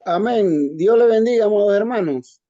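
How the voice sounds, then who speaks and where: A man's voice: high voice, animated, moderate pace, mellow, lo-fi sound. A man giving a religious address as a pastor on a panel, over a remote call.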